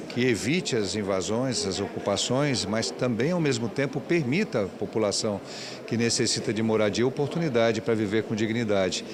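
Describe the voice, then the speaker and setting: A man with a lowish voice speaks in measured, formal sentences to a reporter. Clear crowd chatter surrounds him. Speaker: interviewee. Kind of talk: interview statement.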